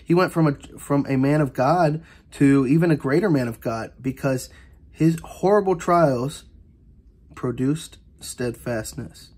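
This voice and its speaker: A man's voice: medium pitch, measured pace, darkish tone, breathy edge. A man explains a Bible passage in an earnest, informal teaching voice. He often repeats phrases and restarts his sentences with fillers, as if thinking aloud while he points through the page. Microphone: voice-over on an overhead camera view of an annotated Bible.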